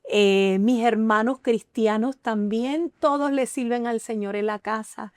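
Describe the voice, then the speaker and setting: Earnest woman sharing her testimony, somewhat high. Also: steady tone, a little slow, dry recording, clean studio sound. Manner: emotional, earnest, hesitant with many fillers. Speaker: guest. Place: TV studio.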